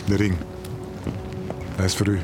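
Menacing flemish brother in radio drama, really deep voice, loud music under it. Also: male voice, completely flat delivery, a little slow. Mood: grudging, subdued. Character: menacing, grudging brother of a dead man. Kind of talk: audio drama acting.

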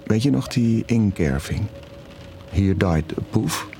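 A conflicted middle-aged father in an audio drama. His voice sits low and he speaks in a confiding, hesitant, reflective way. Faint music plays behind him. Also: lively intonation, slow, vocal fry, full character voice. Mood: confessional, wistful. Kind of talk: intimate naturalistic audio drama dialogue.

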